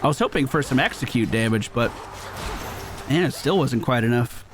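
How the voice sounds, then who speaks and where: A man's voice: medium pitch, expressive, normal speed, background noise. A male gaming streamer giving casual live commentary, chatting and thinking aloud as he plays. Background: game music.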